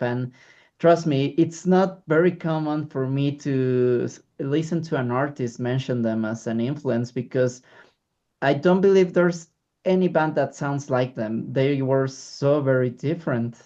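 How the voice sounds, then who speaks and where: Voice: medium pitch, dark tone. An interviewer asking a musician questions, chatty and adding opinions of their own. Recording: dull lo-fi audio.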